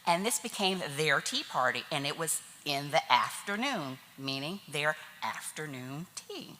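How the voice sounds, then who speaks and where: A woman's voice: sits low, very animated, unhurried, bright, forceful tone, a little hiss or hum. A woman giving a chatty, nervous talk to an audience at a church tea event. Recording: hall reverb.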